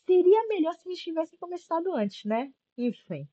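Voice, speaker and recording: A woman's voice: very high voice, very animated, moderate pace, mellow. A young woman with a Brazilian accent giving casual, sarcastic video-essay commentary, informal and prone to swearing, on a slightly dull lo-fi microphone. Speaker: YouTube commentary host.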